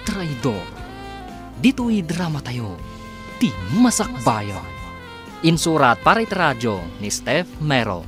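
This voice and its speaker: An animated male announcer opens a radio serial with dramatic delivery. His mid-pitched voice swings widely in intonation over prominent music. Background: loud music under the voice.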